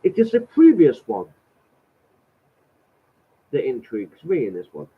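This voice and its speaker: A man's voice: medium pitch, wildly swooping pitch, unhurried, breathy edge. A man with a cold and a slightly nasal voice, sarcastically commenting as he reads out a letter. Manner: exasperated, sarcastic, swears. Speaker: vlogger reading out a letter. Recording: tinny phone-like audio.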